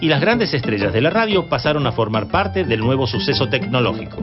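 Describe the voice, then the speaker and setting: A man's voice: medium pitch, sing-song, fairly quick. A man with an Argentine accent reading scripted documentary narration. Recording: dull band-limited sound under loud music. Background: loud instrumental music.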